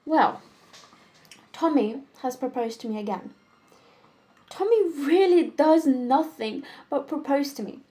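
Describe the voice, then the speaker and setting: A woman's voice: high-pitched, dark tone. Woman acting an arch, exasperated society lady. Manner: deliberate, arch, complaining. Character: exasperated, witty upper-class society lady, theatrically fussy. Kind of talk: stage comedy monologue.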